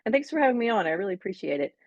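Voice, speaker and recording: A woman's voice: mid-range voice, very animated, racing, no echo, dull audio. An American woman in her forties, a physician associate answering interview questions in a friendly, candid way. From United States. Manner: friendly, candid, frequent ums.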